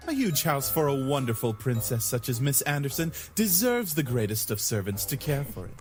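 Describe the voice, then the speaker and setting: A man voicing a gallant, flattering young servant in an anime-style visual novel game. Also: mid-range voice, normal speed, natural voice. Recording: game music behind.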